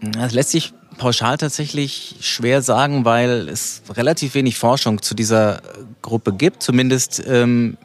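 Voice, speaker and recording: A man explaining research as an academic guest, measured and hesitant, carefully qualifying what he says. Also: medium pitch, steady tone. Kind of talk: unscripted expert explanation.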